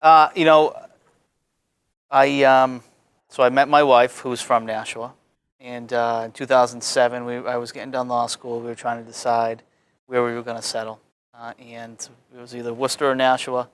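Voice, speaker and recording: A man in his thirties from Massachusetts, a newly elected alderman, telling a relaxed story with fillers in an interview. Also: mid-range voice, normal speed, clear, resonant tone. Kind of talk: casual interview chat.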